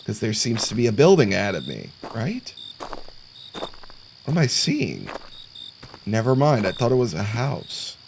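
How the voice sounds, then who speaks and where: A man's voice: fairly low pitch, big pitch swings, measured pace, gravelly, pauses often, a little mellow, slight background noise. A man giving casual let's play commentary on a horror game, sarcastic and jokey as he mutters to himself. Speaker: gaming content creator. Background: horror game sounds of crickets and insects.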